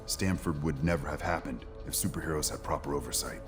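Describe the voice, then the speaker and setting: An actor playing an earnest, steady male superhero in a video game, speaking seriously and firmly. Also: extremely low pitch, fairly quick, over music. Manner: serious, firm. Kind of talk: video game cutscene acting.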